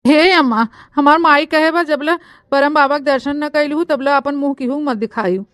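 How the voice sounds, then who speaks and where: A woman's voice: high-pitched, normal speed, a bit bright, no room sound, clean studio sound. A woman voicing a scheming, grumbling young village bride with an eastern Uttar Pradesh accent in a comic cartoon. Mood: evasive. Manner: melodramatic, grumbling. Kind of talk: comic cartoon story dialogue in rustic dialect.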